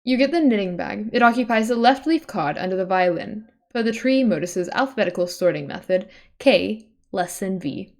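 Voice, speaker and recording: A woman's voice: mid-range voice, expressive, moderate pace, few pauses. A woman reading a webcomic aloud on a livestream in an informal way, breaking off for casual asides and self-corrections.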